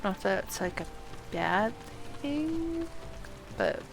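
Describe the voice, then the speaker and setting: A woman streams a game with casual, off-the-cuff commentary. She talks slowly, half to herself, reacting aloud as she goes, with soft music playing behind her. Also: mid-range voice, sing-song, gravelly. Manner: reacts aloud, muttering to self.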